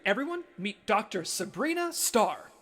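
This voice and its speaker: A woman playing an earnest, excitable spy agent leading a team in a comedy audio drama. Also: mid-range voice, moderate pace. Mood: brisk, proud.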